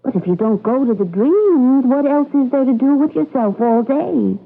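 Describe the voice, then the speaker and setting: An actress in a 1980s radio drama playing a gentle, wistful young wife who questions hopefully. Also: female voice, high voice, lively intonation, normal speed, gravelly, narrow, tinny audio, heavily acted. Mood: curious, bored. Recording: band-limited old radio broadcast, music underneath.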